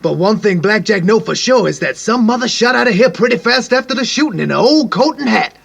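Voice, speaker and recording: An actor playing a folksy, downtrodden fellow with a raspy, creaky voice in a 1950s-style radio drama. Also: male voice, high voice, lively intonation, brisk, a bit bright, full character voice. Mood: folksy, eager. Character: folksy downtrodden male hanger-on, talks of himself by name. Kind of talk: 1950s radio detective pastiche acting.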